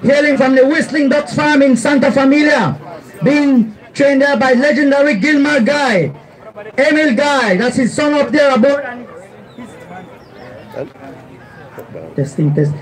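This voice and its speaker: A middle-aged man giving live horse race commentary outdoors at a track, speaking into a handheld mic and rising to shouts as the horses run. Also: extremely high pitch, a little slow, pauses often, low-quality recording. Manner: excitable, rising to shouts at the finish. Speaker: race announcer. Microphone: handheld mic held close.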